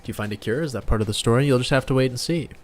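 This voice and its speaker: A man's voice: mid-range voice, racing, darkish tone. A man hosting a casual livestream while he draws, bantering and joking with viewers as a game developer. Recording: music playing behind the voice. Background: background music.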